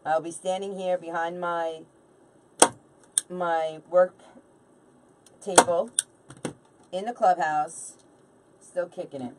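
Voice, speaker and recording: A woman's voice: fairly low pitch, steady tone, slow, long pauses, background noise. Chatty woman in her forties, distant mic. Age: late 40s. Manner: chatty, informal, banters with viewers and helper. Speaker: craft livestream host. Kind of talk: casual live chat while crafting.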